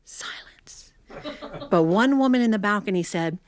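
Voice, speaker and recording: A middle-aged woman from the United States telling humorous personal stories to a live audience in a folksy, confiding way with dramatic pauses. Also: mid-range voice, lively intonation. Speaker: storyteller. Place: performance studio with a live audience.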